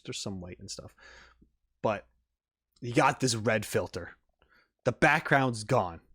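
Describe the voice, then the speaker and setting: A man's voice: mid-range voice, fairly bright tone, no room sound, studio quality. A man doing casual, off-the-cuff reaction commentary, rambling and repeating words as he thinks aloud. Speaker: YouTube reaction host.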